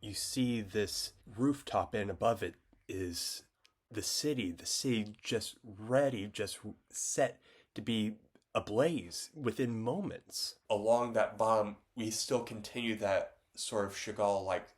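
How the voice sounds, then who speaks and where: Man, stuffed-up nose, lowish voice, loose rambling talk, many ums. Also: slow. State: stuffed up, congested. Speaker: painter talking about his own painting. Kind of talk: casual reflective talk to camera.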